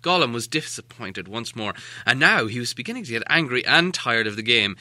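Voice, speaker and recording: Bright, animated male storybook narrator. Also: mid-range voice, fairly quick, dry recording. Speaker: audiobook narrator.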